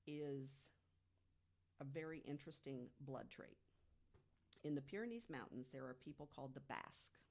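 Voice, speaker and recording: A woman speaking as a podcast panelist, telling mysterious material conversationally and deliberately, with emphatic pauses and rhetorical questions. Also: sits low, a little mellow. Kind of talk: conversational storytelling about mysteries.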